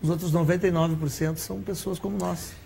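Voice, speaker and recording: Male author guest, higher-pitched, earnest explainer, TV interview. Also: steady tone, fast, creaky, a little mellow, slightly rough. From Rio Grande do Sul, Brazil. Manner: earnest, explains with figures, some restarts.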